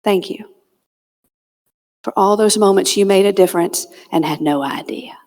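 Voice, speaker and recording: A woman with a Southern US accent, from Georgia, gives a humorous motivational keynote on a stage mic, telling stories with dramatic pauses and near-whispered punchlines. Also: mid-range voice, a little mellow. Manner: dramatic storyteller, drops to a near whisper on key lines, comic asides. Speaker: motivational keynote speaker and comedian. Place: conference hall stage. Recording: long reverb of a large hall.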